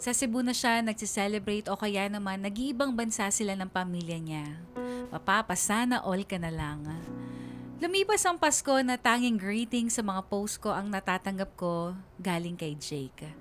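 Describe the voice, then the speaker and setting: A woman's voice: mid-range voice, natural voice. A woman playing a young woman narrating her own love story in a confiding radio drama style. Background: music under the voice.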